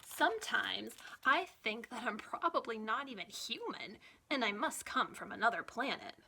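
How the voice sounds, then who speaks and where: A woman children's librarian reading a picture book aloud in an expressive, deliberate storytime manner for young listeners. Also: on the high side, gravelly, a little hiss or hum.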